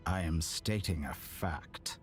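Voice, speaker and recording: An actor playing an arrogant, mocking villain scientist with godlike ambition in a scripted video game. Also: male voice, low-pitched, takes it slowly. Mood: smug. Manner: pompous, mocking, mannered speech. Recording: game audio with music underneath.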